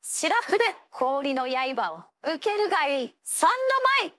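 A proud young ice-wielding swordswoman from an anime fighting game. Her voice sits high for a female. She phrases everything in an old-fashioned, imperious way, stern and commanding. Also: big pitch swings, slow, a bit bright, no room sound, full character voice. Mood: fierce battle cries. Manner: archaic, haughty, commanding phrasing.

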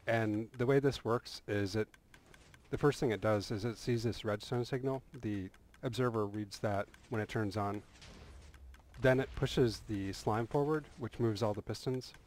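Low-voiced man explaining a game build. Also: a little slow. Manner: relaxed, rambling explanation with frequent restarts and "uh". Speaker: game tutorial creator. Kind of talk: casual voice-over walkthrough of a game build.

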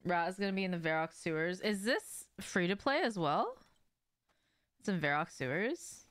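Smooth, low-voiced young woman streaming games. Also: a bit bright. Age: young adult. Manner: informal, sweary, slangy. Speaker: game streamer. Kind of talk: casual livestream chat with viewers while gaming. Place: indoors, small home room with shelves.

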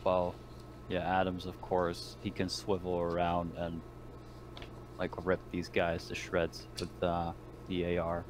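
Deep-voiced man in casual game chat. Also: monotone, slow pace. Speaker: player in a tabletop game. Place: online voice call. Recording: clearly noisy voice-chat recording.

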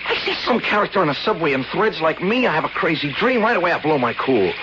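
A man's voice: a little high, big pitch swings, fast. An actor playing a hot-headed, frightened young male student, slangy and stammering, in vintage radio drama style. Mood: defensive. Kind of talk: 1970s radio mystery acting. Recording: muffled noisy 1970s radio recording.

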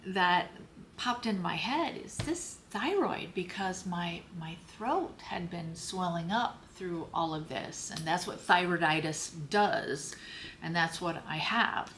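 An older woman talks straight into a close camera from a small home office, her voice hoarse and a little low for a woman. She goes slowly and haltingly, pausing as she searches for words. A faint room hum sits under her voice. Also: fairly bright tone. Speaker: lifestyle vlogger. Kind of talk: personal health story told to camera.

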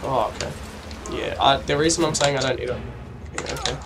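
A young man from New Zealand with a New Zealand accent, commentating a game casually while he has a cold, excitable and sweary with lots of fillers. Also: medium pitch, fairly quick, music in the background. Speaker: let's play gamer. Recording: distant mic with noisy background.

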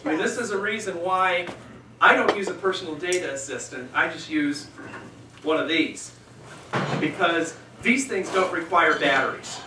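A man's voice: fairly high pitch, normal speed, recorded from a distance, background noise. A man moderating a meeting and giving an off-the-cuff rebuttal speech in a restaurant, rambling and chatty with lots of fillers and asides. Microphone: handheld mic set down, voice carrying from a distance. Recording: echoey restaurant room, picked up from afar.

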